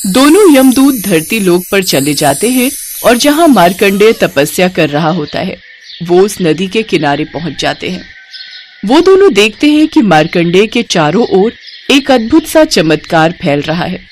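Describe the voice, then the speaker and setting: A woman's voice: medium pitch, sing-song, a little slow, slight background noise. A woman narrating a devotional story in a scripted storytelling style.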